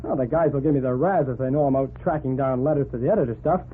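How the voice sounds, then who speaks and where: An actor playing a brash, eager newspaper reporter with breezy slang, in 1940s radio drama style. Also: male voice, mid-range voice, quick pace, close to an everyday voice. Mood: protesting. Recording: noisy, phone-like 1940s radio recording.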